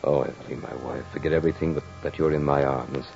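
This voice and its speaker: An actor playing a tormented, devoted husband in an old-time radio horror drama, with melodramatic, theatrical delivery. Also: male voice, rumbling low, steady tone, quick pace, vocal fry, darkish tone. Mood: pleading, tender. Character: tormented, devoted husband haunted by a vengeful phantom. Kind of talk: old-time radio horror acting, first-person narration. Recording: dull vintage radio recording with music.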